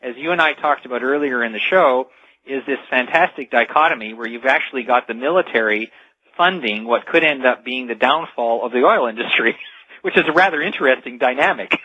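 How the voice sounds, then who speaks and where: A man talks as a guest expert on a radio show. His medium-pitched voice explains things conversationally. The sound is dull and lo-fi, with very little room around it. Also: fairly quick, bright, forceful tone, slightly rough, no room sound. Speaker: talk radio guest, futurist.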